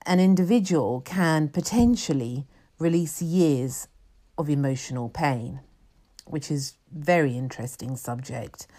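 A woman's voice: low voice, measured pace, mellow. A woman hosting an interview show who formally introduces her guest and asks questions. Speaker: host.

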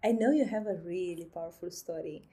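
A woman's voice: on the low side, brisk, warm tone. A woman hosting an interview, encouraging her guest with brief affirmations. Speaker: host.

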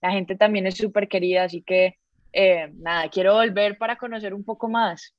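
A young woman with a Colombian accent speaks as an interview guest, relaxed and upbeat. Her voice sits in a middle pitch and comes across slightly dull, as if over a call. The sound is dry. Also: moderate pace, no echo. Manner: relaxed and upbeat, with fillers like "pues". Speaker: musician guest. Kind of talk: casual interview.

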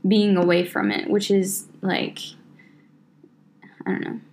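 A young woman rambles through a casual podcast chat, leaning on "like" as she thinks out loud. Her voice sits at a middle pitch, with faint background noise under it. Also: flat delivery, slow pace. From Southern United States. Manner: rambling, full of "like" fillers. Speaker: podcast co-host.

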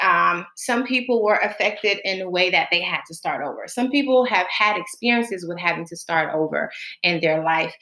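Bright-voiced woman in an echoey room. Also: on the low side. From born in the Bahamas, raised in Florida. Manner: colloquial and chatty, drawn-out and deliberate when affirming. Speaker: podcast host. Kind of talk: casual monologue with spoken affirmations.

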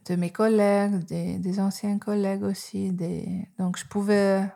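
Hungarian-accented woman guest in her forties, slow, halting, dark voice. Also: mid-range voice, level tone, vocal fry. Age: 40s. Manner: hesitant, halting with many fillers and restarts. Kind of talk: podcast interview.

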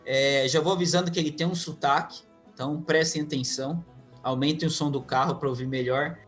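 A man's voice: a little high, fairly even intonation, fairly quick, soft background music. A man with a Brazilian accent hosting a podcast in an informal, chatty, conversational way. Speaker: podcast host. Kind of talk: conversational podcast introduction and interviewing.

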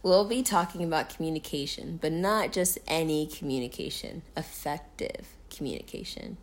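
A woman's voice: low-pitched, moderate pace. A woman co-hosting a casual podcast, chatty and upbeat, with plenty of fillers. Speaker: podcast co-host. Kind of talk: casual chat.

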